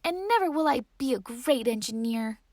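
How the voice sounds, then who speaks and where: A woman's voice: on the high side, expressive, moderate pace, darkish tone, no room sound, clean studio sound. A woman voicing a shy, easily discouraged little girl inventor in a children's storybook, plaintive and self-doubting. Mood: upset.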